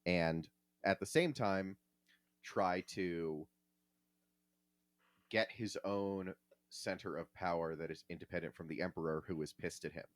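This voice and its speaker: Male podcast co-host, deep voice, casual explainer who thinks aloud in halting phrases, dry sound. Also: steady tone, no echo.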